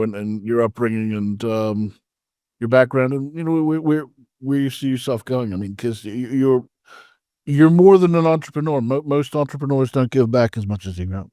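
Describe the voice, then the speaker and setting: A man hosting a podcast interview, asking questions haltingly with many repeated words and restarts. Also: medium pitch, dark tone, dry recording, studio quality. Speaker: podcast host.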